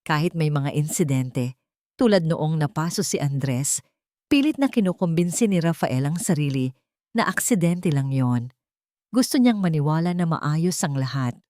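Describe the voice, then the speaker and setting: A woman's voice: deep voice, lively intonation, normal speed, mellow, no room sound, studio quality. A woman narrating an audio drama in a scripted, dramatic storytelling style.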